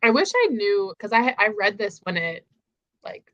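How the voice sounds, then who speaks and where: A woman with a medium-pitched, crisp bright voice chats casually as a co-host. The sound is dry, with no room around her. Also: big pitch swings, normal speed, no room sound, lo-fi sound. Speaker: co-host of a book readalong live stream. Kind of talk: casual conversational chat.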